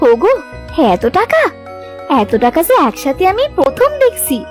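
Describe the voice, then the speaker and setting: A woman's voice: very high-pitched, huge pitch swings, a little slow, faint music behind, heavily acted. A woman playing a bossy but good-hearted village wife in a cartoon folk tale, exclaiming, scolding and coaxing.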